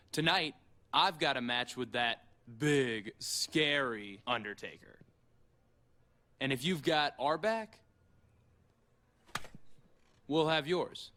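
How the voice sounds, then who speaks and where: A man's voice: medium pitch, bright, forceful tone. An actor voicing a cocky young male wrestler in a video game, speaking in a smug, persuasive way.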